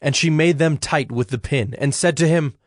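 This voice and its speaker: A man narrating dramatized scripture in a measured storytelling manner. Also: a little high, dry recording. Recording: dry studio with music underneath. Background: music.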